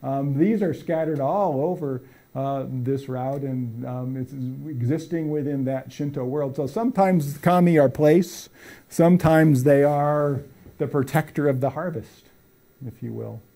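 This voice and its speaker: A man's voice: mid-range voice, a little slow, mellow. A middle-aged man giving a college lecture in a brick-walled room, talking conversationally with many fillers and restarts. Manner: gestures broadly while talking. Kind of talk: public lecture with slides.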